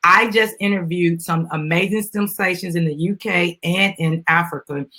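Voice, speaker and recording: A woman's voice: lowish voice, fairly even intonation, moderate pace, bright, forceful tone, breathy edge. An American woman chatting informally to viewers as she hosts a casual live video and promotes a children's book. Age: adult, mother of a young child. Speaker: live stream host, business founder.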